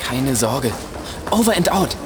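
A man playing a plucky, eager boy hero in a children's audio drama, with an excited, slangy way of talking. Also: mid-range voice, a little slow, loud music behind the voice. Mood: determined.

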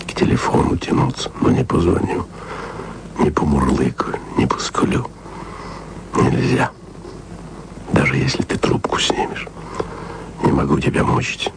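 An aging émigré broadcaster, lovesick and full of self-doubt, murmurs tender messages into a tape in a radio play. His voice sits extremely low, with a gravelly rasp, and often drops to a confiding half-whisper. A faint hiss runs under the recording. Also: heavily acted. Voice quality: very deep, gravelly. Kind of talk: intimate radio play monologue.

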